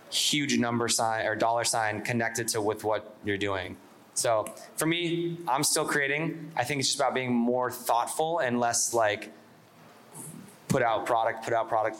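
A man's voice: mid-range voice, a bit bright, a little hiss or hum. A man speaking as a panelist, thoughtful and casual, with many fillers. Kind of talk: live panel discussion.

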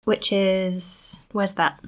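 A woman's voice: medium pitch, little pitch movement, drawn-out words. A young woman from Skipton, hosting and interviewing in a rambling style with playful asides. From Skipton, North Yorkshire. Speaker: podcast host. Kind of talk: chatty spoken introduction and interview.

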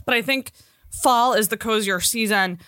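A woman's voice: a little high, normal speed, bright, forceful tone, smooth, dry recording, clean studio sound. A woman co-hosting a podcast, chatting casually in an informal, sweary way. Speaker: podcast co-host. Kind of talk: casual chat.

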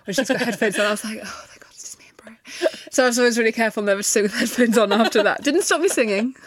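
A woman co-hosting a podcast in casual chat, telling animated stories. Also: on the high side, rapid-fire, no room sound. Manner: chatty, animated storyteller. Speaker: podcast co-host. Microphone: headphones, studio mic.

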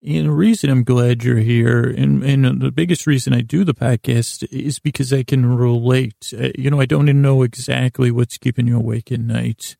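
A man hosting a sleep podcast in a creaky voice, meandering soothingly through a bedtime monologue, mumbling and stumbling over his words. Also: medium pitch, steady tone, moderate pace, hardly any pauses, warm tone, dry recording, studio quality. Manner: soothing, lulling, friendly. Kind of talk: rambling bedtime monologue to help listeners fall asleep.

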